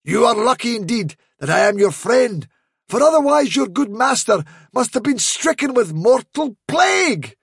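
A man's voice: very high-pitched, very animated, moderate pace, vocal fry, slightly rough, no room sound, studio quality, voice pushed up, full character voice. Guy playing a sneaky rabbit faking a fairy voice, all solemn drama, swoops up and down. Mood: solemn, sly. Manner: sly, solemn, dramatic warnings. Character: cunning male trickster rabbit posing as a fairy.

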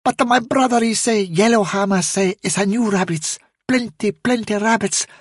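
A tiny, anxious field mouse chatters in broken English, his voice pitched far above. He twitters eagerly, keen to please, with a slightly husky edge. The storybook recording is close and dry. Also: moderate pace, no room sound. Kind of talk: audiobook character voice.

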